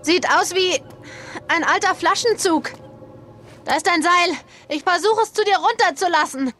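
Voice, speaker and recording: A woman's voice: extremely high pitch, moderate pace, a bit bright. A woman playing a plucky, practical young adventurer in a dubbed action game, urgent and projecting. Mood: eager, urgent. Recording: noisy ambience behind.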